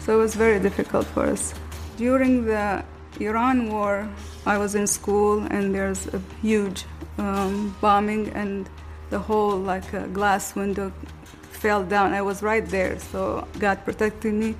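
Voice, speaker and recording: A middle-aged woman from Iraq recalling growing up in wartime, in a plain, steady way. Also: medium pitch, unhurried, faint music behind. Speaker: interviewee. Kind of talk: personal testimony.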